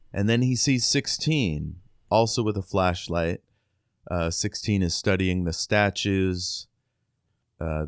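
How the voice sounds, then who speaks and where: Deep, slow-talking man, clean dry sound. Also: no room sound. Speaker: podcast co-host. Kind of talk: casual book discussion, recapping and reading quotes.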